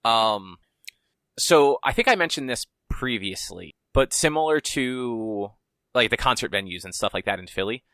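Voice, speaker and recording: A man's voice: medium pitch, expressive, normal speed, bright, forceful tone, no echo. A man co-hosting a podcast, talking in a laid-back, rambling way and swearing casually. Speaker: podcast co-host. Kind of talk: casual chat.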